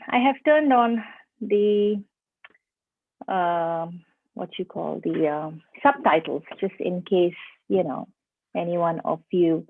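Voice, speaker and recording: A woman's voice: on the low side, vocal fry, dry recording. A middle-aged woman from India with a mixed Indian and Indonesian accent, giving a friendly online presentation to teachers and often checking in with her listeners. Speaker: preschool teacher trainer. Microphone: video call. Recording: dry, phone-like call audio.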